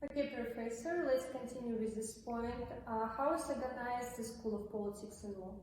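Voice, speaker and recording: A woman's voice: a little high, normal speed, distant mic. Woman interviewer in echoey hall, music behind. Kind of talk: formal interview questions.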